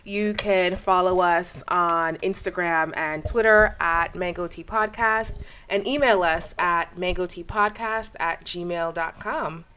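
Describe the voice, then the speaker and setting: A young woman co-hosting a casual podcast, animated and quick to laugh. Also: lowish voice, slight background noise. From Jamaica. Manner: animated, laughs often, sings playfully. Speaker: podcast co-host. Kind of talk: casual chat.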